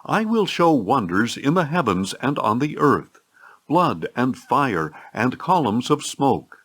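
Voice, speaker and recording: Male narrator for audio Bible, mid-pitched, unhurried, solemn scripted reading with animated intonation.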